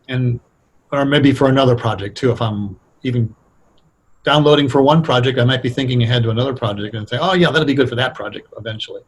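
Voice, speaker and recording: A man with a mid-pitched, somewhat husky voice. He explains his craft in a relaxed interview style, pausing to think and rephrase as he goes. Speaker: radio drama writer, interview guest. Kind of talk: casual explanatory interview talk.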